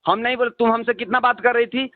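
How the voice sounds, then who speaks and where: Man, Bhojpuri accent, raised high voice, argumentative and repetitive, narrow telephone sound. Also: fairly even intonation, quick pace, no echo. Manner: confrontational, repeating himself. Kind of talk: heated phone argument. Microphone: phone line. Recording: tinny phone call recording.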